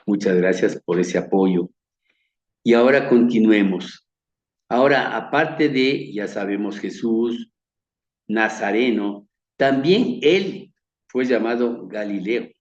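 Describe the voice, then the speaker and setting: A man from Ecuador with a Quito accent gives a measured, earnest Bible lecture over pictures, often repeating words and letting his phrases fade softly. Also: on the low side, animated, reverberant, muffled recording. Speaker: Bible teacher. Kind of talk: slow sermon-like slideshow lecture.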